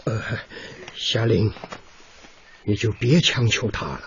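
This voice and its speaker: A man playing a genial American war veteran, with a drawn-out, theatrical radio drama delivery. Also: fairly low pitch, slight background noise. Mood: solemn, resigned. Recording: muffled radio drama recording, music underneath.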